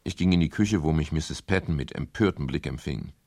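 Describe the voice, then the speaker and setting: An actor playing a middle-aged private detective, courteous, wry and a little hesitant, in a German radio crime drama. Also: male voice, extremely low pitch, brisk, background noise. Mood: reflective narration. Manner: hesitant, politely ironic. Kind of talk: 1970s German radio crime drama, dialogue and first-person narration.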